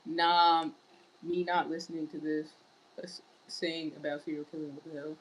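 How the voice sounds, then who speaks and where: A woman's voice: low voice, flat delivery, moderate pace, slight background noise, low-quality recording. A woman streaming live in casual chat, reading viewer messages aloud and answering them. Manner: wearing large headphones. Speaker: livestreamer.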